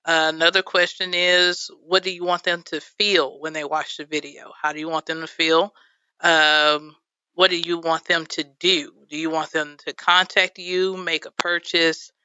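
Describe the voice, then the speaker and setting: Texan woman guest, radio interview, bright clear voice, chatty with fillers, dry and slightly dull studio sound. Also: low voice, little pitch movement, normal speed, dry recording. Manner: chatty, many fillers, laughs easily. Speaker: videographer guest.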